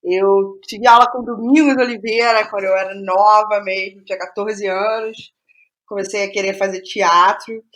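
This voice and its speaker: A middle-aged woman with a Rio de Janeiro Brazilian accent, telling her story casually as an interview guest, halting and repeating phrases for emphasis. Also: mid-range voice, fairly even intonation, a bit bright, poor audio. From Rio de Janeiro state, Brazil.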